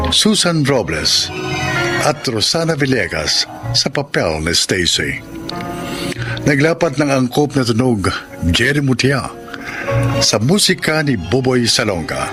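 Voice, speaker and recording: A man with a Filipino accent giving dramatic scripted narration as a radio drama announcer. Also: mid-range voice. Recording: music clearly under the voice.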